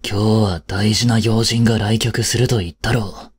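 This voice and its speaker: An actor playing a cold, haughty young prince in an audio drama, with a husky, breathy voice and a curt, imperious manner. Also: male voice, fairly low pitch, fairly even intonation, normal speed. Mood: stern, scolding. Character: cold, haughty young prince scolding and fretting over his maid. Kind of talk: Japanese audio drama acting.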